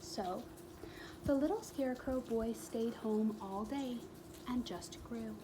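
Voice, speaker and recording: Slow, higher-voiced woman reading a storybook, noisy recording. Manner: dramatic pauses and repeated emphatic phrases. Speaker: storyteller reading a children's book. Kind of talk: expressive read-aloud for young children.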